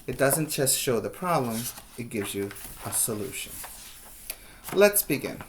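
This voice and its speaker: Preschool teacher for a read-aloud: slow pace, emphatic storytelling, explanatory asides to small children. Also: medium pitch, expressive, a little hiss or hum.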